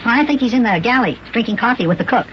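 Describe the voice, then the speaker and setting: A man voicing an excitable, cheerful little elf boy with a squeaky child-like voice in an old children's radio serial. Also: rapid-fire. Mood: chatty. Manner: eager, repeats himself. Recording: noisy, muffled old radio recording.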